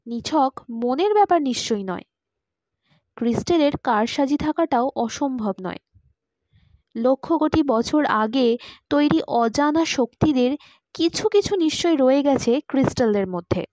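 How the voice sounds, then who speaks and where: A woman's voice: high-pitched, lively intonation, unhurried, darkish tone, dry recording. A woman reading a first-person story aloud as a scripted audiobook narrator.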